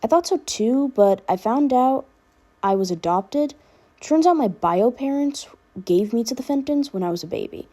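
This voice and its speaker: A woman's voice: a little high, lively intonation, normal speed, dark tone, voice pushed up. A woman voicing a protective, teasing older brother in a casual, firm way for a fan fiction reading.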